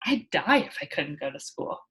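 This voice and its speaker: A woman's voice: sits low, sing-song, normal speed, fairly bright tone, slightly rough, pitched below the performer's own voice, exaggerated voice. A woman voicing eager, wheedling schoolchildren from a storybook, earnest and coaxing.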